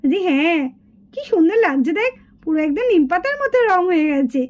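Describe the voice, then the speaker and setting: Very high-pitched little schoolgirl doll. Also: very animated, brisk, lo-fi sound. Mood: delighted. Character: excited little schoolgirl doll making slime. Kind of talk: children's puppet show dialogue.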